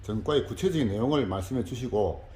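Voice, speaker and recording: A man interviewing formally, reading out prepared questions in a measured way. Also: medium pitch, animated, warm tone. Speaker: interviewer, civic group leader. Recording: echoey room, faint background noise.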